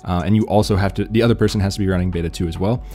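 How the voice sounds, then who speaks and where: A man's voice: low voice, fairly even intonation, rapid-fire, dark tone, soft background music. A man giving casual, laid-back tech news commentary in an informal, slangy way.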